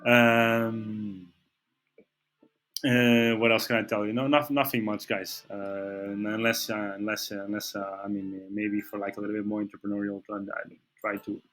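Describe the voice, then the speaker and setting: Casting note: Portuguese-accented male market analyst, lowish voice, halting delivery full of "uh"s. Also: flat delivery, fairly bright tone, faint music behind. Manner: hesitant, many fillers and restarts. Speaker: trading channel host. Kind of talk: screen-share market analysis.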